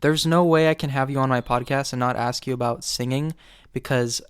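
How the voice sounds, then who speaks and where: A man's voice: mid-range voice, steady tone, a little fast, clean tone, no echo, clean studio sound. A man hosting a podcast, gushing in a friendly, casual way and asking questions. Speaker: podcast host. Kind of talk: casual interview chat.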